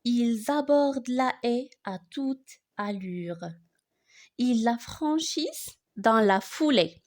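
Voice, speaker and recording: A woman reciting a poem for learners in an expressive way, phrasing it deliberately line by line. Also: fairly high pitch.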